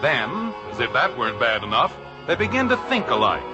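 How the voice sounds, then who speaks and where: A man's voice: medium pitch, sing-song, brisk. A man announcing and narrating a 1950s radio sitcom in a folksy, wry manner, on a dull old recording with music.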